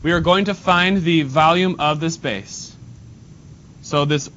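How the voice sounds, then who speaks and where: A man's voice: slow, fairly bright tone. A male math teacher with a nasal voice, teaching a class in a casual way and talking to students while he works at the board. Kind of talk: classroom lesson. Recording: dull lo-fi sound, faint rumble.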